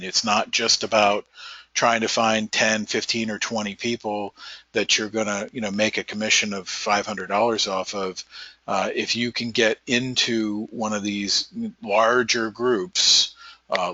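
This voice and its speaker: Lowish, bright, forceful man on a conference line; earnest pitch; dull lo-fi audio. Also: dry recording. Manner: hesitant at first, then builds an earnest pitch. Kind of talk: casual business meeting talk.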